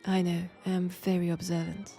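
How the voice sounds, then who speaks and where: A woman's voice: lowish voice, level tone, mellow, faint music behind, natural voice. A woman playing a mysterious, flirtatious Frenchwoman in 1940s England, speaking with a French accent in an intimate, coy roleplay audio drama. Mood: playful, friendly. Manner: unhurried, coy, conversational with pauses.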